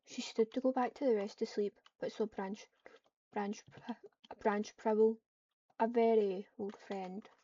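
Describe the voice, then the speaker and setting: A woman casually narrating a roleplay aloud as she types, in a halting way with repeated phrases. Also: medium pitch, steady tone, mellow, recorded from a distance. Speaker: roleplay gamer. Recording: dull lo-fi mic, noisy, keyboard clicks.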